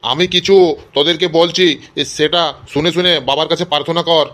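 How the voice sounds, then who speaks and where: Man as a high-voiced cartoon holy man. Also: fairly even intonation, moderate pace, fairly bright tone, lightly acted. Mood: fatherly, instructing. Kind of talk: amateur cartoon dialogue.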